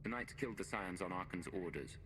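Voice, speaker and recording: A man's voice: low-pitched, fast, over music, natural voice. An actor playing a determined male hero who speaks tersely and resolutely. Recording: game audio, reverberant, with hiss.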